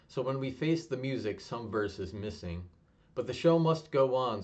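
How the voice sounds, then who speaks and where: A young man reading his own spoken word poem in a low-key, even delivery he calls monotonous. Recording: dull lo-fi home recording.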